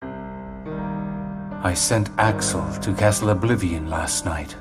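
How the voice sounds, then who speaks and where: An actor playing a cold, commanding officer in a video game drama, speaking formally and deliberately. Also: male voice, low voice, loud music behind the voice. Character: cold, commanding male officer of a secretive organization.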